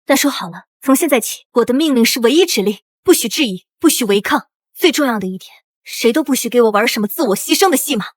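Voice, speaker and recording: A woman playing a bold young princess who commands her team with self-assurance in an animated drama. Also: a little high, fast, no echo, clean studio sound. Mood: resolute. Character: bold young princess commander, money-minded, decisive and protective.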